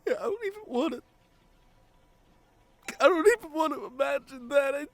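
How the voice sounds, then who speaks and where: A man playing a grieving, devoted boyfriend in an emotional ASMR audio roleplay, pleading haltingly through tears with his voice breaking. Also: very high-pitched, a bit bright, exaggerated voice. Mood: desperate, crying. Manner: halting, repeats phrases, voice breaking into sobs. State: crying. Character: grieving devoted boyfriend pleading at comatose partner's hospital bedside.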